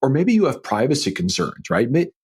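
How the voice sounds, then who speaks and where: Animated, husky-voiced man giving podcast advice. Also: mid-range voice, a little fast. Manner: emphatic, folksy, checks in with rhetorical tags. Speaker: podcast host and financial planner. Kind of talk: conversational solo podcast advice.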